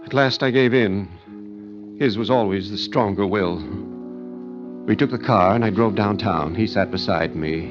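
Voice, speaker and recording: A man's voice: fairly low pitch, measured pace, over music, lightly acted. An actor playing an anxious, weak-willed man in an old radio thriller, grave and hesitant, on a muffled recording. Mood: grave, haunted. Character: anxious, weak-willed brother recounting a horror. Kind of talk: old-time radio thriller narration and acting.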